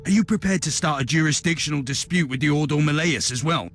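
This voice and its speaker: An actor playing a formal, authoritative and calculating inquisitor in a grim sci-fi video game. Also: male voice, on the high side, quick pace, fairly bright tone, soft background music. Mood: defiant, negotiating. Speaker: game character. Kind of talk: dramatic video game cutscene acting.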